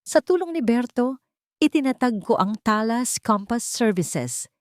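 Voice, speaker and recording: A woman's voice: fairly high pitch, lively intonation, unhurried, no echo, studio quality. A woman narrating a scripted first-person story for an audio drama.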